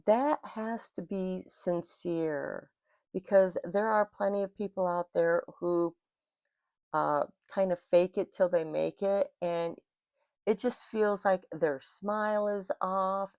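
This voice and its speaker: American woman tarot reader, unhurried, gravelly scratchy throat, dry close sound. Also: mid-range voice, a little mellow, no room sound. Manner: slow, soothing, spiritual. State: rough scratchy throat from smoke. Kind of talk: intuitive tarot reading monologue.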